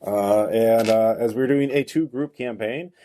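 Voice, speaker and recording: A man hosting a tabletop game as game master, narrating off the cuff in a halting, hesitant way. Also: mid-range voice, warm tone.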